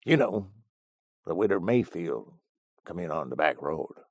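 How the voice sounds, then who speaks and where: A grumpy old ranch hand with a gruff, slow rural drawl, as an audiobook narrator puts him on. His voice sits low and terse, clipped and dry. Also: big pitch swings, no room sound, heavily acted. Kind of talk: audiobook character voice.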